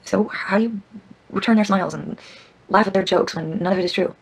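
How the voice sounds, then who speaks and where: A woman playing a probing, candid woman in an intimate screen drama, with a husky, breathy voice. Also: medium pitch, brisk. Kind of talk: naturalistic screen acting.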